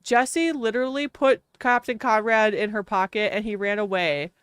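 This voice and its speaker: Chatty Wisconsin woman with a smooth voice. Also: mid-range voice, moderate pace, no room sound, clean studio sound. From Wisconsin, USA. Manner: chatty, laughs often, lots of "like". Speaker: game streamer. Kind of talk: casual livestream chat.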